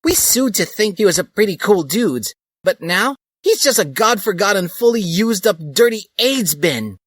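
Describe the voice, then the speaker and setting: A man putting on a shrill, bossy girl. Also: sing-song, moderate pace, fairly bright tone, no room sound, clean studio sound, exaggerated voice. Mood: mocking shrill.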